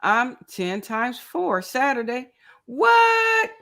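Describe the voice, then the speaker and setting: A woman in her fifties chats her way through a live crafting stream, greeting each viewer by name and laughing often. Her voice sits a little high, and she takes her time with every phrase. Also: sing-song, extremely slow, sharp tone. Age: 50s. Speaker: crochet channel host. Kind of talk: casual livestream chat.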